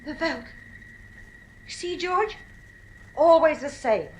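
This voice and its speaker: A woman's voice: high-pitched, expressive, slow, a little hiss or hum. A middle-aged woman acting in an audio drama as an anxious, fretful wife and mother, speaking earnestly. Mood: frightened. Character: anxious, unnerved wife and mother in futuristic household.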